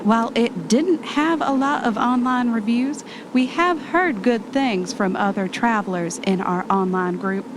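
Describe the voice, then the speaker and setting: A woman's voice: measured pace, few pauses, lightly acted. A woman playing an eager, bossy travel podcaster in an amateur audio drama, chatty and ready with an announcer's polish. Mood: polished narrating. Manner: chatty, switches into polished radio voice. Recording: noisy, vehicle rumble behind the voice.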